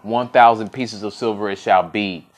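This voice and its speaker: A man's voice: lowish voice, steady tone, normal speed, lightly acted. A man voicing a wealthy, boastful merchant in a folk tale, dismissive and emphatic. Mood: dismissive, confident.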